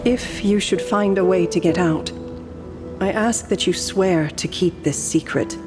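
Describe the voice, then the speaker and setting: A woman voicing a serene, millennium-old painter and guardian in a video game, calm, formal and lyrical. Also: on the low side, unhurried, close to an everyday voice. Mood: solemn, foreboding. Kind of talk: poetic scripted game dialogue. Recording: music under the voice.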